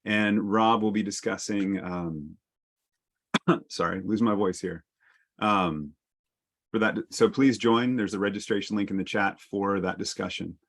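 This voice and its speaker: A man's voice: on the low side, steady tone, a little slow. A man moderating a panel discussion over a video call, wrapping up with thanks to the guests.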